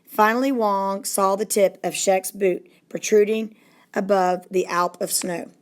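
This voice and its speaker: A woman reading a story aloud as a narrator, in a deliberate, dramatic storytelling manner. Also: medium pitch, steady tone, clean tone. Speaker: storybook reader. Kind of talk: expressive read-aloud narration.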